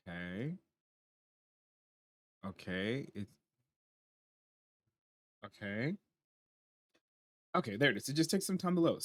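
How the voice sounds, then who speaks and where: A tired man with a hoarse voice, casually talking through game patch notes at his computer and reacting as he reads. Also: medium pitch, dry recording. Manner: chatty, reacts aloud while reading on screen. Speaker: gaming channel host.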